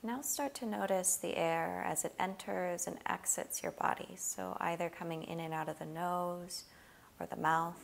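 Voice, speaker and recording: A woman guides a body scan meditation in a fairly low voice. There is a clear vocal fry in her speech. She gives each gentle instruction without hurry and leaves long pauses between phrases. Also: steady tone, slight background noise.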